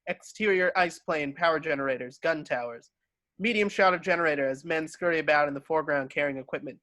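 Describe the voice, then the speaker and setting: A man's voice: fairly high pitch, bright, forceful tone, dry recording. A man reading stage directions as scripted narration, giving scene headings in clipped fragments.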